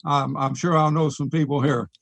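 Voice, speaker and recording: Higher-voiced man, halting casual chat. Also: steady tone, creaky, fairly bright tone, no echo. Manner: halting, repeats words. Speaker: radio club member. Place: online video meeting.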